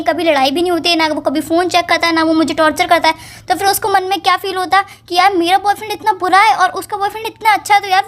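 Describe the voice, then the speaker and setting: Woman acting a very high-pitched, exasperated young girlfriend. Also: fairly bright tone. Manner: pleading, insistent, defensive. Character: exasperated young girlfriend pleading to be heard and believed. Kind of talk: acted romantic phone-call drama in casual colloquial speech.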